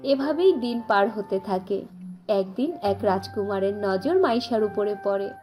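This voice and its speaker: A woman's voice: medium pitch, lively intonation, measured pace, a little mellow, soft background music. A woman reading scripted fairy-tale narration as the storyteller.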